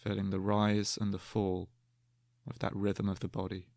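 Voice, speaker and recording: A man guiding a meditation in a calm, gentle, measured way, leaving long pauses between slow spoken instructions. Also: low-pitched, vocal fry, dry recording, studio quality. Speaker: meditation guide.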